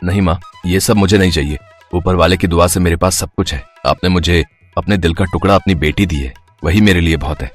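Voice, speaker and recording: Deep-voiced, kind young husband, acted by a man. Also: brisk. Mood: earnest, generous. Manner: gentle, earnest. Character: kind, generous young husband and son-in-law. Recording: music behind the voice.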